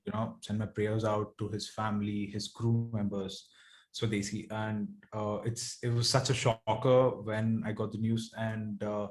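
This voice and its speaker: A man from Bangalore with a South Indian accent, speaking casually and earnestly as a rapper being interviewed, rambling as he thinks. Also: mid-range voice. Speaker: rapper guest.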